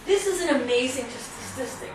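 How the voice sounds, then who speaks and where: A woman's voice: high voice, animated, normal speed. Middle-aged American woman professor, chatty lecture style, mic distant in a very echoey, noisy hall. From United States. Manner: chatty, self-correcting, teasing asides. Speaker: professor giving a guest lecture. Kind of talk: casual lecture to students.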